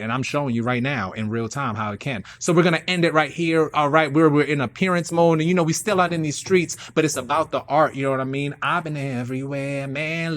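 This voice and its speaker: Casting: male playwright-performer type, medium pitch, informal hype talk, slangy and emphatic repetition. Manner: hype-man slang, repeats phrases for emphasis. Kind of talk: casual self-promoting chat.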